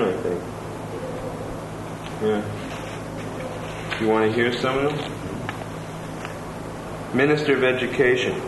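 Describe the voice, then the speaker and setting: A man reading letters and reviews aloud, plain and attentive, adding his own asides. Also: mid-range voice. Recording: roomy room with clear background noise.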